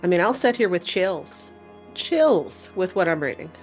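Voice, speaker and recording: Woman w lowish voice telling a spooky story on a show, chatty style, bit of music under it. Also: very animated, unhurried. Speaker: co-host telling a paranormal story. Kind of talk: conversational storytelling.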